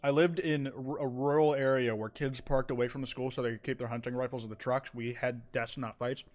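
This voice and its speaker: A man's voice: medium pitch, flat delivery, fairly quick, darkish tone. A young man from the United States chatting casually on a live stream, reading viewer confessions aloud, rambling and swearing with many restarts.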